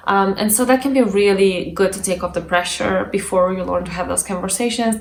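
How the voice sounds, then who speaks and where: A young adult woman, a native Bulgarian speaker, giving an articulate instructional talk to camera as a language coach. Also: medium pitch. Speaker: language coach and video presenter. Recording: echoey room with faint background noise.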